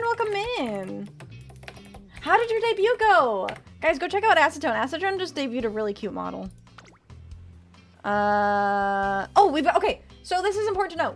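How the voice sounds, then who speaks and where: A young woman streaming a game, chatting with her viewers and cheering loudly through the races, though her voice is growing worn. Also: sits high. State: losing her voice. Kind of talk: live game stream commentary with chat.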